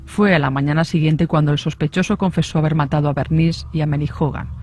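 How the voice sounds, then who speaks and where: High-pitched male true-crime narrator. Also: fairly even intonation, fast, darkish tone, soft background music.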